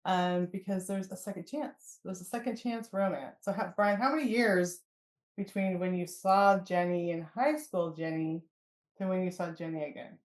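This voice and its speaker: A woman's voice: fairly low pitch, moderate pace. Echoey woman hosting a casual podcast. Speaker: podcast host. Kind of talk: casual interview chat. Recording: echoey room.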